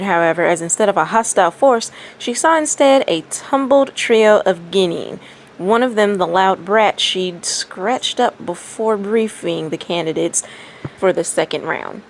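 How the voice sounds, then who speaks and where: A woman doing casual story-time talk and reading fan fiction aloud as a narrator. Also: lowish voice. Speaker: fan fiction reader and commentator. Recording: faint background noise.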